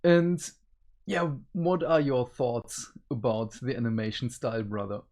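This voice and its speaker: A man's voice: mid-range voice, a little slow, darkish tone. A man co-hosting a review show in casual chat, speaking hesitantly as he searches for words. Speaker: co-host of an animation review show.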